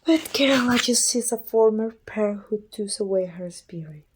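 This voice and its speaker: A woman playing a proud, weary evil queen and sorceress in an ASMR roleplay, with a husky, breathy voice and an imperious, deliberate delivery. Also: medium pitch, noisy background, close to an everyday voice. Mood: grim, uneasy. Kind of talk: scripted ASMR roleplay acting.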